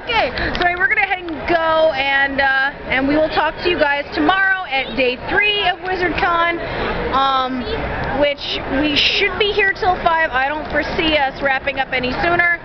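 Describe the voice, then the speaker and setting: A woman's voice: sits high, moderate pace. A tired woman in her twenties chatting casually to a camera held at arm's length. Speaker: vlogger. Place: large convention hall, at a booth. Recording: muffled, loud convention crowd noise.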